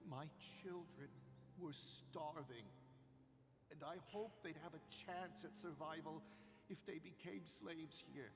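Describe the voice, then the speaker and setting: High, halting, desperate stowaway man. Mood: desperate, sorrowful. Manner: halting, fearful, pleading. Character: desperate, pleading poor male stowaway. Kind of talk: scripted game voice acting. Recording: long hall reverb, music behind.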